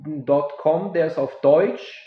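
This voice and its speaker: A man's voice: fairly high pitch, slow, dull audio. Hesitant man hosting a podcast, echoey room. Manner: hesitant, many fillers and restarts. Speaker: podcast host, tour operator. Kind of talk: casual podcast interview. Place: office, talking over a call.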